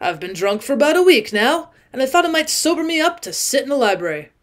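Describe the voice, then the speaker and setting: A woman voicing a stout, drunk middle-aged man who talks loudly and emphatically and keeps repeating himself. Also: high voice, very animated, quick pace. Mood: excited drunk. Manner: loud, emphatic, rambling repetition.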